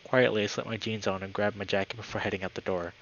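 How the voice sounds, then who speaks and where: A man's voice: lowish voice, fast talker, dull audio, slight background noise. A man speaking as a live streamer, moving between friendly chat and reading a story aloud. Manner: upbeat, friendly, excitable when greeting viewers.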